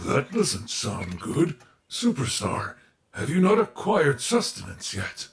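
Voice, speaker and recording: A man playing an excitable, pompous, bumbling animatronic bear entertainer in an audio roleplay, theatrical and overly formal. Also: medium pitch, slow, gravelly, a little hiss or hum, full character voice. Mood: earnest, proud. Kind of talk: fan audio roleplay acting.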